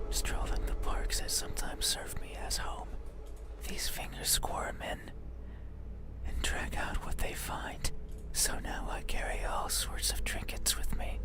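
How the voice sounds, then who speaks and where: A man voicing a whispering, lonely creature that slowly grows, speaking in a breathy, soft, unsettling murmur. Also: natural voice. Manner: slow, soft, unsettling, intimate. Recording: whisper over loud game music.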